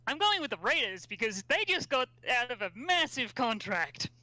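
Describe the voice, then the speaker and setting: Bright-voiced thirty-year-old woman, blunt. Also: fairly high pitch, big pitch swings, moderate pace, dry recording. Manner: blunt, stammers into points. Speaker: podcast co-host. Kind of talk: casual sports chat.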